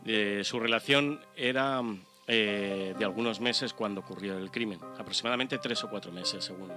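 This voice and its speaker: A man, explaining facts haltingly and casually as a radio co-presenter. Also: fairly low pitch. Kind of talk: casual factual commentary. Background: background music.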